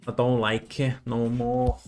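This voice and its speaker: A man's voice: mid-range voice, completely flat delivery, unhurried, a little mellow, faint music behind. A man on a casual live stream, chatty, reading out chat messages and losing his voice. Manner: chatty, reads out chat messages, many restarts. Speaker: livestream trader.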